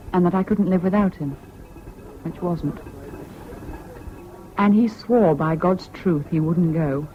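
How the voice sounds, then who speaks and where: An actress playing a bitter, scornful, fiercely independent British woman in a 1970s radio drama, with a cutting, sardonic manner. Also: on the low side. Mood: weary, wry. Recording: dull, noisy old radio recording.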